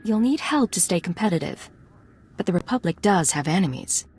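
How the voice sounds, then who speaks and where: Young secret agent, somewhat low pitch, cool, poised, crisp, controlled, video game dialogue. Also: female voice, animated, brisk, a little mellow. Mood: persuasive.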